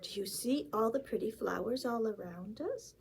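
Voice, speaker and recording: Big Mouse, a soft-spoken patient grown-up mouse played by a woman. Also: on the high side, lively intonation, moderate pace, creaky, low-quality recording. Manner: soft, half-whispered, sing-song rhymes. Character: calm grown-up mouse who patiently explains.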